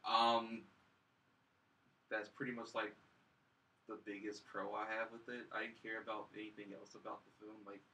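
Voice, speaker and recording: A man's voice: on the low side, flat delivery, normal speed, pauses often, bright, forceful tone, far from the mic, a little hiss or hum, rough recording. A young man chatting casually as a film review panelist, hesitant and often repeating himself. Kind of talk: casual chat.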